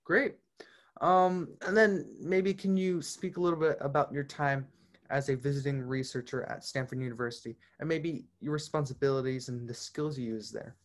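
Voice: medium pitch, fairly even intonation, moderate pace. An interviewer asking long, hesitant questions, often stopping on fillers.

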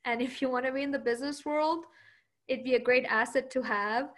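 Confident high-voiced woman, Persian native. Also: fairly even intonation, a little fast, fairly bright tone. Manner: confident, laughs often. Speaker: interviewee. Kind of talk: interview answers.

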